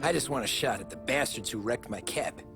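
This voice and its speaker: A man's voice: medium pitch, fairly quick, close to an everyday voice. An actor playing a polite, earnest young male cab driver in a video game cutscene. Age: young adult. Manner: polite, respectful. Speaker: taxi driver. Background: cinematic music.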